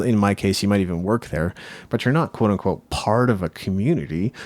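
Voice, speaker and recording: A man's voice: low voice, darkish tone. A man co-hosting a podcast, giving his opinions casually and reflectively, often saying "like" and rephrasing as he goes. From Japan. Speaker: podcast co-host.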